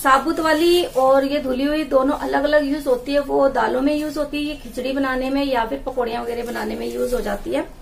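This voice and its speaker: A woman's voice: sits high, fairly even intonation, fairly quick, gravelly, hardly any pauses, slight background noise. A woman in her thirties, a housewife vlogger, chatting casually as she shows her groceries on camera in a small tiled room. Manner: chatty, explaining while handling jars and bags. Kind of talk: casual home vlog showing groceries. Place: small indoor room with tiled walls and wooden door.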